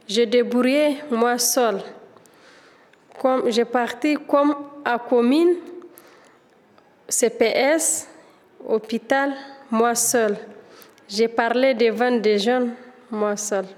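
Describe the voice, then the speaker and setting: Higher-voiced woman speaking slowly. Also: a little mellow, in a large hall. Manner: simple phrasing with a repeated refrain. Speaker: adult French learner. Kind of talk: spoken testimonial.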